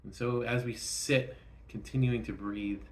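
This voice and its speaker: A man leading a guided meditation, speaking gently and instructively in short phrases with frequent pauses. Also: medium pitch, steady tone, a little hiss or hum.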